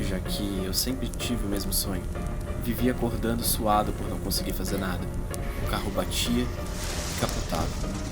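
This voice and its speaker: A man's voice: lowish voice, lightly acted. A man with a Brazilian accent playing an anxious yet eager young man narrating his adventure in a dramatized audiobook. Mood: uneasy, reflective.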